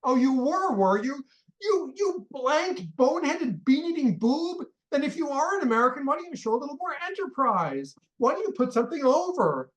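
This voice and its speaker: An actor playing an impatient older American millionaire who barks orders and scolds. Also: male voice, very high voice, sing-song, poor audio. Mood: scolding. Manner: barking, scolding, rapid-fire orders. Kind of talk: radio play acting.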